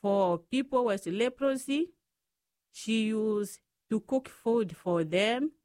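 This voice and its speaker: A woman from the Democratic Republic of the Congo with a Congolese accent, telling personal stories as an interview guest in short, halting phrases of non-native English. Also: fairly high pitch, a little mellow, dry recording, studio quality. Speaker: guest, Franciscan sister.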